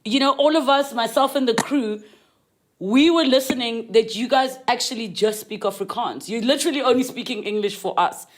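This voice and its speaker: A woman's voice: a little high, moderate pace. A woman from South Africa interviewing guests, asking probing, empathetic questions in a casual conversation.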